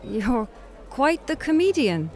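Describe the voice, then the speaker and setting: A woman's voice: on the high side, big pitch swings, slow pace, a little mellow, full character voice. An actress playing a weary, polite Irish woman on a doomed date in an audio drama, dryly guarded. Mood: cool, guarded.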